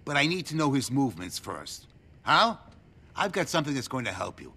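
A middle-aged American government handler in a crime game. His voice is forceful and bright, swinging widely in pitch as he delivers clipped, sardonic orders with smug cynicism. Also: big pitch swings, brisk, creaky, breathy edge, full character voice. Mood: irritated, commanding. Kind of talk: video game cutscene acting.

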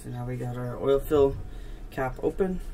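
A man's voice: mid-range voice, moderate pace, mellow. Matter-of-fact man narrating outdoor repair, noisy background. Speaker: DIY mechanic demonstrating a repair. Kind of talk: casual how-to narration. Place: outdoors in a driveway beside a jet ski. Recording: outdoor camera audio with background noise.

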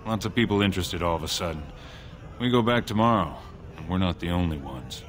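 A man voicing a gruff, weary dwarf warrior in a video game, speaking in a terse, blunt way. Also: low voice, expressive, a little fast. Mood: grim, weary. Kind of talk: video game dialogue acting.

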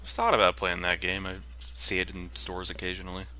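A man with a lowish, very sharp and bright voice chats casually alongside someone playing a game. He sounds like a co-commentator, with faint noise on his recording. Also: flat delivery, fairly quick, rough recording. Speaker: let's play co-commentator. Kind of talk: casual chat over gameplay.

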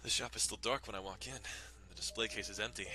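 A man reads a visual novel aloud as a dramatic narrator. His voice sits fairly low and is very bright and forceful. Faint music runs underneath. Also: steady tone, quick pace. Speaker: dramatic reader.

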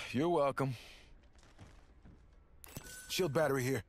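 A man's voice: mid-range voice, takes it slowly, close to an everyday voice. A man playing an earnest, good-natured heroic soldier in a video game, trading short combat banter. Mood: mildly awkward. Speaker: video game character voice actor. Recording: game sound effects and clicks behind. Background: gameplay noise.

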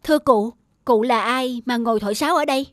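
A woman voicing a poor, kind and curious young fisherman in an animated folktale, gently musing to himself. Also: a little high, fairly quick, close to an everyday voice. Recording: loud music under the voice.

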